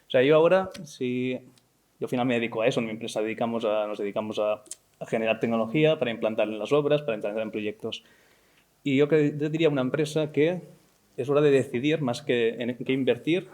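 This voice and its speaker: A man's voice: medium pitch, darkish tone. A man speaking off the cuff as a panelist, informally, punctuating his points with rhetorical questions and tags. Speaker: panelist, technology company professional. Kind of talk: unscripted panel discussion.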